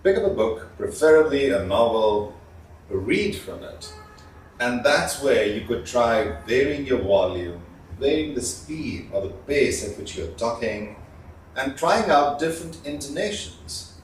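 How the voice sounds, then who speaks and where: A man's voice: medium pitch, very animated, unhurried. Man training, echoey distant recording. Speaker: communication skills trainer. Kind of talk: instructional talk on voice modulation. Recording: echoey room, distant mic, faint noise.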